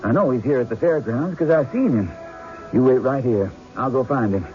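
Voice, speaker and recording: An actor playing an earnest, hard-up gambler with a plain folksy way of talking in an old-time radio drama. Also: male voice, medium pitch, lively intonation, normal speed, natural voice. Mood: pleading, defensive. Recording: dull lo-fi radio recording with music.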